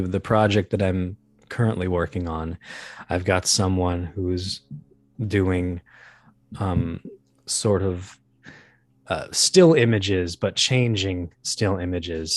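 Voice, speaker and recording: A man talking casually as an interview guest about his creative work, in a halting, thoughtful way. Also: sits low, no echo. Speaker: audio drama creator and guest.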